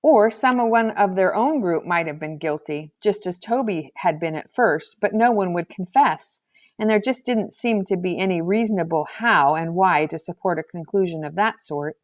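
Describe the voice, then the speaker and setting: A woman reading a children's novel aloud as scripted narration, in an expressive storybook manner. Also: on the low side, moderate pace. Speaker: audiobook reader.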